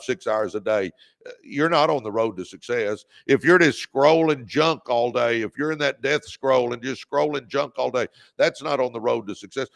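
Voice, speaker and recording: A man in his fifties hosting a live-stream podcast, delivering an energetic, repetitive pep talk in a booming voice. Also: gravelly. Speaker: podcast host.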